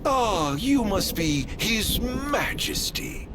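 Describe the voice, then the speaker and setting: Theatrical, vengeful villain, wildly swooping voice. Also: male voice, high-pitched, big pitch swings, extremely slow, heavy background noise, full character voice. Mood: taunting. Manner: grandiloquent, archaic diction, mockingly courteous, savoring words. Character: theatrical vengeful immortal villain, mocking, wickedly playful. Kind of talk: dramatic video game cutscene acting. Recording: loud orchestral game music behind.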